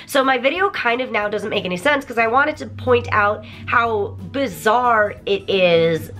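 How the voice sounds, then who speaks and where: A woman in her thirties talks to her viewers from a home studio, her voice croaky from a recent sore throat. Her tone is bright and forceful, and she chats in a lively, apologetic way. Soft music plays faintly underneath. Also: fairly high pitch, measured pace. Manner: chatty, animated, apologetic. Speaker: YouTuber. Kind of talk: casual vlog to camera. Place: bedroom studio with toy-filled shelves.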